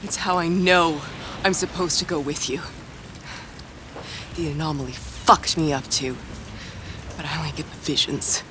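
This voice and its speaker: A woman's voice: low voice, steady tone, measured pace, noisy background. A woman playing a blunt, foul-mouthed but vulnerable young woman haunted by visions in a video game cutscene. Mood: earnest, pleading. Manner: blunt, sweary, emphatic.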